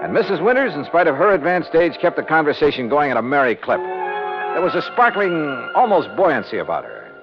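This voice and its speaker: A tough, wry private investigator in a vintage radio detective show. He is clipped and confident, with a very lively delivery over music, on a muffled recording. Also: a little high, a little fast. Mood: wry narration. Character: brisk, skeptical, tough male insurance investigator. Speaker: lead detective and narrator. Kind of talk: 1950s radio noir narration and dialogue.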